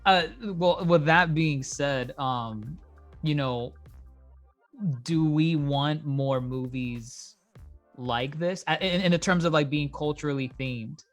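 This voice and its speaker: A man's voice: on the high side, slow pace, soft background music. A man hosting a casual podcast discussion, steering the panel with questions and hesitant "um" and "you know" fillers.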